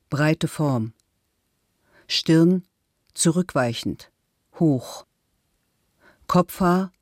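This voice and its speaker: A woman's voice: deep voice, darkish tone, dry recording, studio quality. A woman playing a middle-aged murder suspect in a radio documentary, reading statements in a clipped, matter-of-fact way. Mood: clipped, detached. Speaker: actor reading case records. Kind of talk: scripted documentary radio reading of police records.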